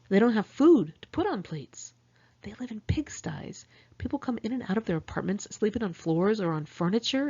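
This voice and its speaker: A woman with a fairly low voice reads from her own memoir in a dull, lo-fi home recording. Her delivery is candid and conversational, as if confiding personal diary entries to the listener. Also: a little mellow. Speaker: author reading own memoir. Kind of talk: first-person memoir audiobook reading.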